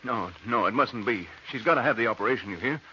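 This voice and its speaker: An actor playing an anxious, devoted husband in a 1940s radio drama, nervous and hesitant, on a dull, noisy recording. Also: male voice, mid-range voice, quick pace, bright, forceful tone. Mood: distressed. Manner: nervous, hesitant, plain-spoken.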